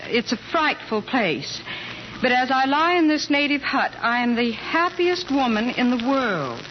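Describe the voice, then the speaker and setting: A woman's voice: sits high, big pitch swings, a little slow. An actress in a 1940s radio comedy playing a flustered but game wife who is posing as another man's wife. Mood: mock-heartfelt. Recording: muffled, noisy old radio broadcast.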